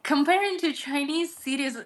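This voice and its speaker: A young woman from Russia, a native Russian speaker with a light Russian accent, answering as an interview guest, candid and quick to laugh. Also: high-pitched. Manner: laughs easily, candid and short-spoken. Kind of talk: casual interview conversation.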